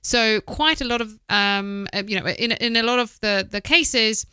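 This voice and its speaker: Female presenter, thirties, crisp and bright, chatty and frank podcast-style delivery on a close mic. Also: a little high, normal speed, no echo. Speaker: voice-over producer giving advice. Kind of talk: informal advice to camera, podcast style. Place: small indoor office. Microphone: close studio microphone with foam windscreen, headphones.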